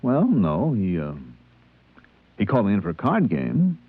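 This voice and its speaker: An actor playing an easygoing spaceship purser in a 1950s radio drama, with a colloquial manner. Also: male voice, fairly low pitch, huge pitch swings, moderate pace. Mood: guarded. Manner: colloquial, hesitant in dialogue. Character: easygoing spaceship purser recounting his voyages. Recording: tinny vintage radio broadcast, music behind.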